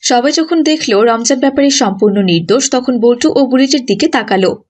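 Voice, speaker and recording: Female storyteller for children's animation: scripted narration, moderately high register. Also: animated, fairly quick, a little mellow.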